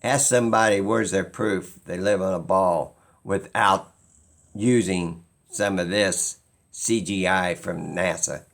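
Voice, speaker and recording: Slow-talking man with a gravelly, creaky voice. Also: deep voice, fairly even intonation. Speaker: video creator. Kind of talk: unscripted opinion monologue.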